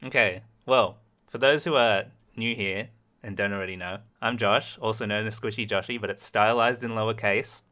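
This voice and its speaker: Bright-voiced man with a light Australian accent. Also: fairly low pitch, fairly even intonation, moderate pace. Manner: easygoing, laughs often. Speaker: host. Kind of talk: casual chat, being interviewed on a livestream.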